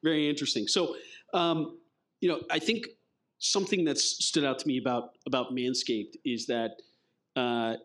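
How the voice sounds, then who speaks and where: A man's voice: mid-range voice, fairly even intonation, moderate pace, a bit bright. Hesitant, joking male moderator. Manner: hesitant, many fillers, dry jokes. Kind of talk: onstage fireside chat. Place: conference stage before an audience.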